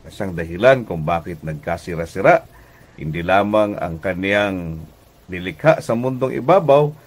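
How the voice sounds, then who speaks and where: A middle-aged Filipino man speaking as a pastor hosting a devotional radio program, deliberate and preacherly, often pausing mid-phrase. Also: low-pitched, lively intonation. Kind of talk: devotional radio sermon with greetings.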